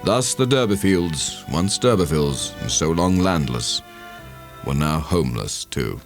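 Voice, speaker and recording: A man narrating a classic novel as a dramatic, theatrical audiobook reading with measured storytelling. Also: deep voice, animated, faint music behind. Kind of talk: dramatic scripted literary narration.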